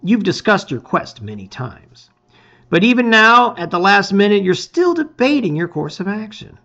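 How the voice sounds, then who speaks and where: Animated man dramatically reading a storybook. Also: high voice, normal speed, lo-fi sound. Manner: theatrical, emphatic phrasing. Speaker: host reading a gamebook aloud.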